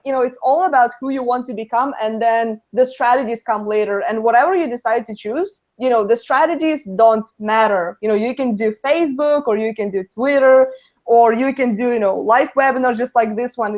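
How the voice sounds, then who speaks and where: Brief young woman, native Russian speaker, Russian accent, upper-pitched, casual and giggly. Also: darkish tone. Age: young adult. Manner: laughs often, lots of "you know" fillers. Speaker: guest speaker. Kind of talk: casual webinar interview.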